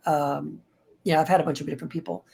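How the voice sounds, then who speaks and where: Relaxed man chatting as podcast guest. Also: a little high, fast, creaky, breathy edge. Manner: relaxed, rambling, easygoing. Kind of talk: casual interview chat.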